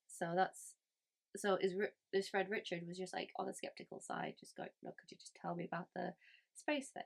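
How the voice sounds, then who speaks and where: A woman who is ill with a cold, chatting casually about a story she read, rambling with many fillers and restarts. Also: medium pitch. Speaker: book review host. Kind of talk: casual book review chat.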